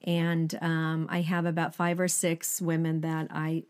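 An older woman from Sweden chats earnestly beside her husband, her voice sitting on the low side for a woman. She talks in a relaxed, unscripted way, often pausing on fillers and laughing easily. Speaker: ministry co-host, pastor's wife. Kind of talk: casual conversational chat.

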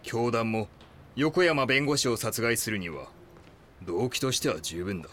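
A man acting as a police chief in a crime radio play, with faint background noise. His medium-pitched voice is formal, cautious and commanding. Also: a little slow, a bit bright, natural voice. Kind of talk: audio drama acting.

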